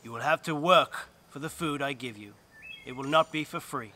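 A man voicing the earth as a calm, stern spirit speaking low and steady. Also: normal speed, a little hiss or hum.

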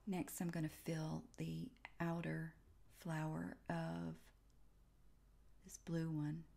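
A woman giving casual step-by-step narration for a software tutorial, pausing between short phrases. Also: deep voice, completely flat delivery, vocal fry. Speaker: tutorial host.

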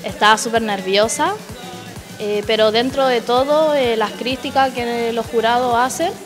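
A nineteen-year-old Chilean woman answering earnestly in an interview, pausing on fillers. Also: a little high. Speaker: pageant contestant. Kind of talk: casual interview. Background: music.

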